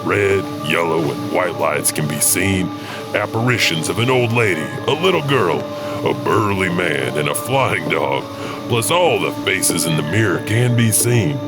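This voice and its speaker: Deep-voiced man narrating ghost stories. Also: expressive, measured pace. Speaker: narrator and host. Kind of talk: scripted ghost story narration. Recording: music behind the voice. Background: music.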